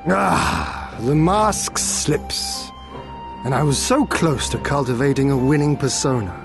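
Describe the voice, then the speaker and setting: Casting: noble young exiled lord for a game drama, formal and earnest, mid-pitched, music underneath. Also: male voice, animated, gravelly. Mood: teasing. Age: young adult. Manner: formal, measured, archaic phrasing. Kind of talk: scripted video game drama acting.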